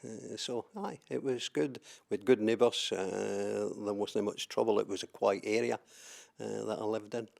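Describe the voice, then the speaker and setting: Gravelly older Scottish man, low voice. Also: fairly even intonation, normal speed, hardly any pauses, darkish tone. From southwest Scotland. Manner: hesitant, many fillers and repeated words. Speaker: interviewee, trade unionist. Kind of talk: oral history interview.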